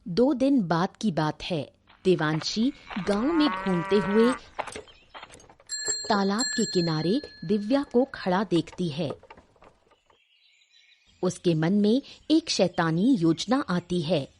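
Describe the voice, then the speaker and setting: Slow-paced woman narrating a story. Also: medium pitch, animated, a little mellow. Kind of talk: scripted cartoon story narration.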